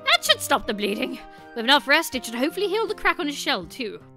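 Woman as a flustered, kindly scientist penguin, high-voiced. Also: big pitch swings, fast talker, bright, forceful tone, faint music behind, heavily acted. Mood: helpful, earnest. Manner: polite, old-fashioned, flustered. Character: kindly, fretful male scientist penguin, fatherly gadget inventor.

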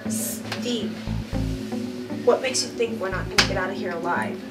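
A woman's voice: mid-range voice, normal speed, lightly acted. A woman playing a sceptical, challenging captive in a film thriller. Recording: echoey, distant, loud background music. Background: loud music.

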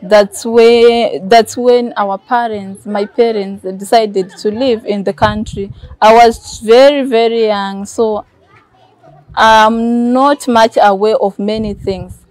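A woman's voice: on the high side, steady tone, slow, darkish tone, no echo, rough recording. A woman, giving personal testimony in an interview. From Burundi. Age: 20s. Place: outdoors, outside a house in a camp.

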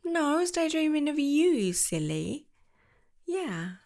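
Soft-voiced woman with vocal fry. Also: high-pitched, sing-song, measured pace. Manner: soft, affectionate, giggly, drifting into whispers. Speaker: ASMR roleplay creator. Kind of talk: girlfriend roleplay, speaking to the listener.

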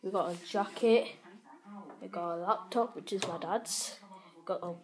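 A woman's voice: mid-range voice, measured pace, darkish tone. A woman with an English accent giving a casual tour of her home, talking in a chatty, unsure way with lots of fillers while filming on a handheld phone indoors. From England. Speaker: vlogger giving a house tour. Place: home kitchen and living room, indoors. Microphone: handheld phone camera while walking. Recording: noisy phone audio with handling clicks.

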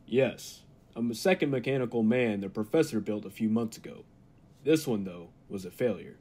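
A man's voice: lowish voice, expressive, moderate pace, mellow. A man playing a confident laboratory scientist who explains things dramatically in an old-time radio play. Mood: earnest, ominous. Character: confident male laboratory scientist, eager and unflinching.

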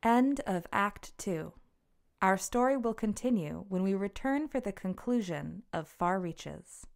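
Slow-paced woman announcer. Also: medium pitch, lively intonation, vocal fry, a little hiss or hum. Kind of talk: scripted closing credits read. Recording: faint music behind voice.

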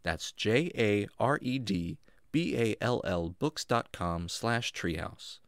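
A man's voice: fairly low pitch, expressive, slow, dry recording, clean studio sound. A man reading a scripted podcast outro as the show's creator and announcer.

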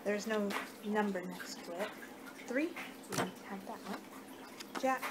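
Slow-paced young woman, noisy ASMR roleplay. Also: medium pitch. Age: young adult. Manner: unhurried, distracted muttering to herself. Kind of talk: ASMR roleplay, improvised reading of fortune cards. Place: small home room, indoors. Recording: noisy amateur webcam-style room recording.

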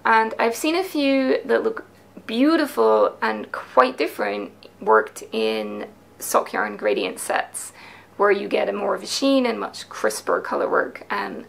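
A woman's voice: medium pitch, animated, a little slow, creaky. A woman in her thirties presenting her knitting patterns in a chatty, enthusiastic way, explaining techniques step by step, seated at a craft table in a small home studio. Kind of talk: casual explanatory video talk.

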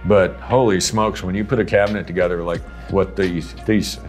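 A man's voice: sits low, steady tone, normal speed, soft background music. A man hosting a casual interview, thinking aloud and speaking with enthusiasm as he asks questions and comments. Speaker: interviewer, host.

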